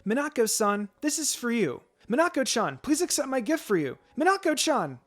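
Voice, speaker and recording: A narrator voicing eager young male admirers in an excited, pleading manner. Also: sits high, moderate pace, pitched above the performer's own voice.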